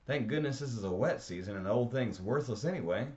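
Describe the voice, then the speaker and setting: A man voicing a good-natured grown man who talks loud and reassuring, on a dull lo-fi recording. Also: fairly low pitch, lively intonation, a little fast, voice pushed up, natural voice. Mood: hearty. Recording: dull lo-fi audio.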